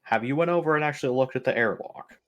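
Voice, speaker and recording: A man's voice: medium pitch, fast, fairly bright tone. A man playing a tabletop roleplaying game, talking casually in character with blunt questions and remarks.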